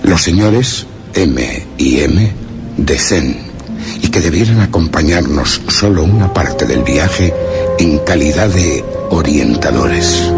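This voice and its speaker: A man playing a grave expedition leader, narrating a radio drama in a solemn, formal, measured literary manner. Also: extremely low pitch, full character voice. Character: grave male expedition leader recounting a mysterious journey.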